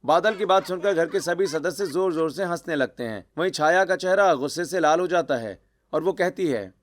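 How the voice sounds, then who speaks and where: Higher-pitched man narrating a story. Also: expressive, normal speed, dry recording, clean studio sound.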